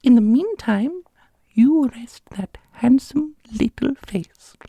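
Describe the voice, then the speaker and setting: Casting: doting fantasy custodian, theatrical and fussy, very high breathy falsetto. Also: male voice, animated, slow, warm tone, no room sound, heavily acted. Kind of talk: ASMR fantasy roleplay.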